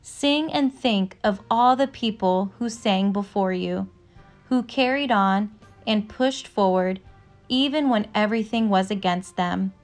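A woman reads a children's storybook aloud in a mid-range voice. She takes her time, phrasing each line slowly and deliberately. Music plays behind her narration. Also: mid-range voice. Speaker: narrator reading a picture book aloud.

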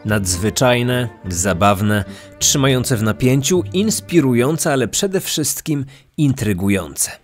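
A man's voice: mid-range voice, expressive, takes it slowly, over music. A man hosting a podcast, telling true stories as scripted documentary narration. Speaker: true crime podcast host.

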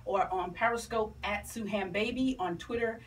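A woman's voice: mid-range voice, normal speed. Distant-sounding enthusiastic woman. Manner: animated, enthusiastic, emphatic. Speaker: interview guest, entertainer and stage play producer. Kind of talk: promotional radio interview chat. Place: small radio studio, camera across room. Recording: distant mic, noisy room ambience.

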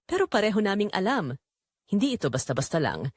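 Woman acting wistful Filipina wife, lively, swooping intonation. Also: medium pitch, fast talker, no echo, studio quality. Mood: reflective, moved. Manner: introspective, confessional. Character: Melissa, quiet long-neglected wife and mother, wistful, tender. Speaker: narrator of an audio drama. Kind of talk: first-person romantic drama narration.

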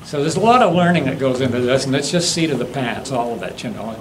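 A man in his seventies giving an informal demonstration talk, halting and anecdotal as he rambles along. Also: mid-range voice, animated, rough recording. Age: 70s. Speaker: guest demonstrator and hobbyist. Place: classroom lecture room. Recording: faint room noise.

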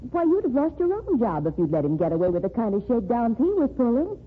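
An actress playing a brisk, sharp-tongued woman reporter in an old radio drama. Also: fairly high pitch, very animated, slightly rough. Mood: spirited. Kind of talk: 1940s radio acting. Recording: tinny lo-fi old radio recording with faint hiss.

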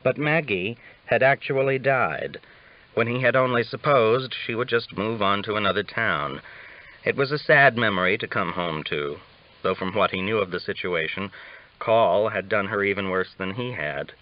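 A man with a fairly low voice narrates a novel for an audiobook. The recording sounds slightly dull and lo-fi. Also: moderate pace.

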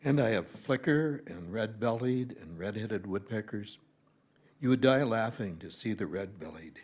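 A man in his seventies with a husky voice, reading a poem aloud at a podium microphone. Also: on the low side, animated, moderate pace, darkish tone. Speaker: amateur reader in a reading-aloud group. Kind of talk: poetry recitation. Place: library meeting room, indoors.

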